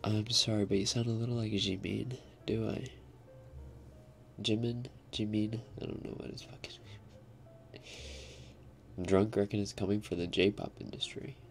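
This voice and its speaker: Gravelly low-voiced young man. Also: steady tone, normal speed, pauses often, soft background music. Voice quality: a little raspy. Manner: soft-spoken, half-whispered, laughs often. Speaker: ASMR streamer. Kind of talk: live stream chat, reading comments.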